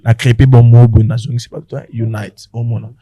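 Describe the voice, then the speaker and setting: A man's voice: medium pitch, little pitch movement, brisk, no echo, highs cut off. A man with a Congolese accent chatting casually as a comedian guest on a podcast, switching between languages and telling stories with repeated words.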